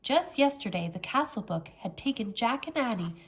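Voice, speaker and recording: A woman reading a children's storybook aloud as a teacher, with expressive, dramatic narration. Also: a little high, normal speed.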